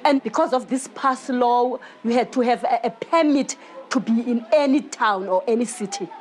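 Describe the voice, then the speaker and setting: A middle-aged woman from Africa, earnestly telling her story in a casual interview, often halting and restarting. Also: fairly high pitch, darkish tone. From South Africa. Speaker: local baker and guest.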